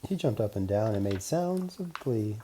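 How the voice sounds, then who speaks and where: Children's audiobook narrator: mellow, relaxed tone, medium register, deliberate storybook phrasing. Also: medium pitch, slightly rough.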